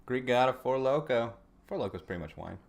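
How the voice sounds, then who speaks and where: A man's voice: mid-range voice, expressive, brisk, creaky. A man co-hosting a podcast, tossing in casual, wisecracking comedic banter. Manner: wisecracking, interjecting. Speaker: podcast co-host.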